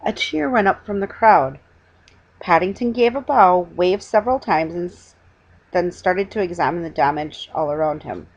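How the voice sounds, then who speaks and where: Middle-aged female storybook reader, lowish husky voice, halting delivery, dull webcam sound. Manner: halting reading with stumbles and self-corrections. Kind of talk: storybook read-aloud. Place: small living room at home, indoors.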